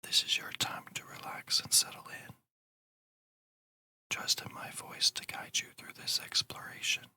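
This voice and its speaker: Whispering ASMR host, bright sibilant edge, breathy, soothing, deliberate, guided meditation narration. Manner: slow, soothing, deliberate guiding.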